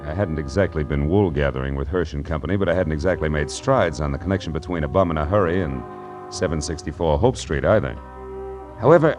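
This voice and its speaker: A man acting a tough, wisecracking, cynical private detective in a 1940s radio noir, with a wry, slangy, punchy delivery. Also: very deep voice. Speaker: narrator and lead. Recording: vintage 1940s radio recording with music.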